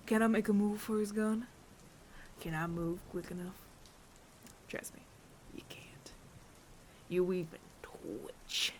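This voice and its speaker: A man playing a menacing, world-weary bounty hunter of androids in an ASMR audio roleplay, taunting the listener with deliberate pauses and often sinking to a near whisper. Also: high-pitched, fairly bright tone, a little hiss or hum. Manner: slow, deliberate, taunting, with long dramatic pauses.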